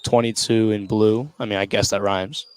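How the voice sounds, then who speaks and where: A man's voice: on the low side, fairly even intonation, normal speed, background noise. A man giving conversational live basketball analysis as a color commentator on radio.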